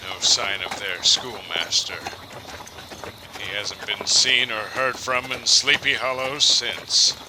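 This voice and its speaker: A man playing a storyteller who recounts an old ghost legend in a theatrical, drawn-out audio drama narration. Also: fairly low pitch, noisy background, lightly acted.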